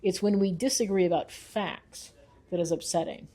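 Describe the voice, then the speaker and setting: A woman researcher talks in an interview with a mellow, soft-edged voice. She explains her views earnestly and leans on key points with emphasis, in a thoughtful, conversational way. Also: lowish voice, moderate pace. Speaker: researcher and author being interviewed.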